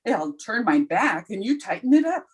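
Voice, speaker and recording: A woman playing a wry, quick-witted schoolteacher in a 1950s-style radio comedy, with a dry, deadpan delivery and a slightly husky voice. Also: medium pitch.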